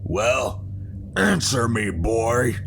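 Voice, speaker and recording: A man voicing an angry, abusive drunken father in a fantasy audio drama, menacing and rough in his rustic speech. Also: mid-range voice, sing-song, extremely slow, noisy background, pitched above the performer's own voice, exaggerated voice. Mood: furious.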